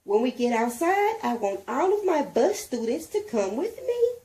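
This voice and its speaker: Storybook kindergarten teacher a woman reader acting her, commanding, raised high, loud and directing. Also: very animated, normal speed.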